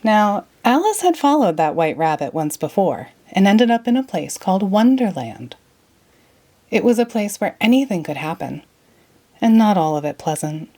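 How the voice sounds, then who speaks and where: Animated woman reading a storybook. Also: mid-range voice, normal speed. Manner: expressive. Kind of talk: children's storybook read-aloud, scripted narration.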